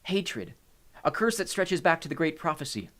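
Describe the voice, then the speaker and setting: A male narrator plays a ghostly huntress girl in an audiobook story. She is protective and solemn, giving grave cautions in a voice lifted in pitch. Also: sing-song, normal speed, pushed and bright. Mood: warning.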